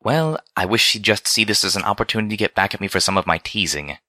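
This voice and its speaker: A man voicing a refined, teasing female pony with a smooth, silky voice and genteel, formal diction, in a storybook reading. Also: on the low side, lively intonation, fast talker, pushed and bright, dry recording, clean studio sound, voice pushed up. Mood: harried concern.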